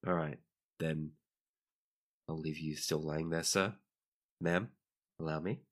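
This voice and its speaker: A man voicing a gentle, formal and deferential hospital attendant in a tabletop game. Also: rumbling low, fairly even intonation, slow pace, voice pushed down, exaggerated voice. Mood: polite, gentle. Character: courteous, gentle hospital attendant of a tall people.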